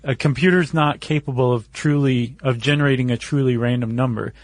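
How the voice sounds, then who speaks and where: A man with a mid-pitched voice talks as a podcast co-host. He explains casually and methodically, laying out his reasoning piece by piece. The sound is close and dry. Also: fairly quick, dry recording.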